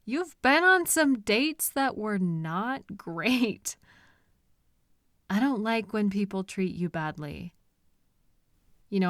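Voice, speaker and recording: A woman playing a possessive, sweetly menacing stalker in an intimate roleplay audio drama, coaxing softly with lingering pauses. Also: medium pitch, no room sound, clean studio sound, heavily acted. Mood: smug, protective.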